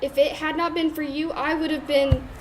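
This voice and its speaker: High-voiced actress as a scheming femme fatale. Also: female voice, level tone, moderate pace, slight background noise, lightly acted. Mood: flirtatious.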